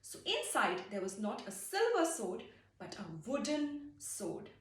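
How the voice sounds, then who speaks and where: Animated woman storyteller in an echoey room. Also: on the high side, a little slow, far from the mic. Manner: expressive, explains words to listeners as she goes. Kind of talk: animated storytelling of a folktale for children.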